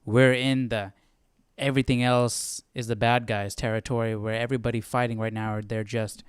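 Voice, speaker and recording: Male livestream host, clean smooth voice, dry close sound, casual reactive commentary on scripture. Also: medium pitch, little pitch movement, moderate pace, no room sound. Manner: conversational, musing aloud, exclaims in reaction. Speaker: host of a Bible study livestream.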